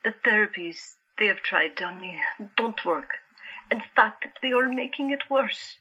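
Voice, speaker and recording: Actress as a haunted young female patient, resigned, husky edge, medium pitch, slightly muffled recording, audio drama. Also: expressive, unhurried, sharp tone, exaggerated voice. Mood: resigned, insistent. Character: troubled young woman artist, asylum patient, resigned and haunted.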